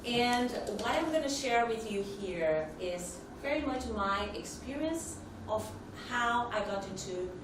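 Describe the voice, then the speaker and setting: A woman from Brazil with a Brazilian accent, telling personal stories in an informal conference talk, in short halting phrases. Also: on the high side, lively intonation. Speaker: conference speaker. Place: indoor conference room. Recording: echoey room with noticeable background noise.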